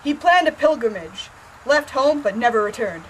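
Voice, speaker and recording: A woman's voice: on the high side, lively intonation, moderate pace, background noise, close to an everyday voice. A woman playing a king's brother who formally delivers a god's message in an amateur Greek tragedy. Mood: grave.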